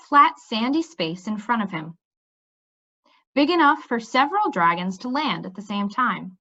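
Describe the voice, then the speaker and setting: Female storybook reader, bright and very lively in pitch, expressive delivery, slightly dull recording. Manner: animated, pausing to check in with listeners. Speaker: reader reading a children's book aloud. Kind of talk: expressive read-aloud storytelling.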